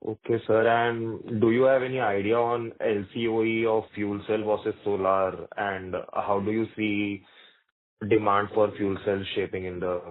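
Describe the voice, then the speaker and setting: A man's voice: fairly low pitch, level tone, normal speed. A man with an Indian accent asks questions as an investment analyst, informal yet deferential in his phrasing. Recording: narrow tinny phone line.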